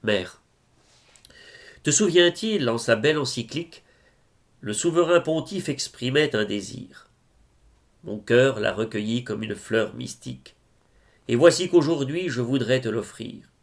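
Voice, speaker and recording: A man recites devotional verse with solemn reverence. His mid-pitched voice carries noticeable fry and gravel. He takes the lines very slowly, phrasing each one with measured care. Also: animated. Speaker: reader of religious poetry.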